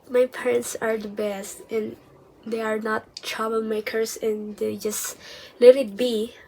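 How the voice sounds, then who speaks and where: A young woman vlogging, telling a personal story casually, hesitant and full of restarts, dropping into soft whispered asides. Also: fairly high pitch, slight background noise, rough recording.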